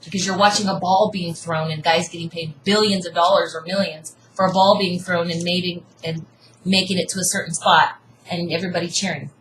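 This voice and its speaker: A woman's voice: on the low side, fairly even intonation, fairly quick, a bit bright. A woman in a casual debate, talking earnestly and insistently, almost preaching. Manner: earnest, insistent, preachy about seeking truth. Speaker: co-host debating. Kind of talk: casual argument. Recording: echoey room, faint hiss.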